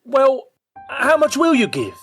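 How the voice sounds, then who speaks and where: An eager little shopkeeper haggles away in a radio play. The delivery is insistent and wheedling, swinging widely in pitch at an unhurried overall pace, with music underneath. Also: female voice, wildly swooping pitch, vocal fry, heavily acted. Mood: indignant haggling. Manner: insistent, wheedling sales patter. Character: pushy little male picture-shop proprietor, eager haggler.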